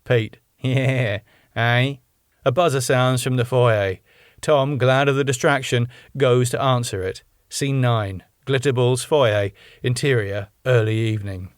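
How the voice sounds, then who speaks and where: A man reading aloud his own script, calmly narrating scene directions. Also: mid-range voice, measured pace, no echo, studio quality. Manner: plain, even script narration.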